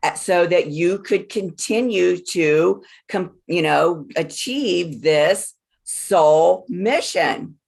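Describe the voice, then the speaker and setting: A woman giving a one-on-one astrology reading, explaining in measured, deliberate phrases with repeated words for emphasis. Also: on the low side, gravelly, darkish tone, slightly rough.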